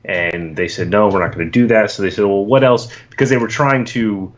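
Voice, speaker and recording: A man with a fairly low voice, chatting casually as a podcast guest. He hesitates and repeats words while working out his thoughts, heard through a dull, lo-fi recording. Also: brisk.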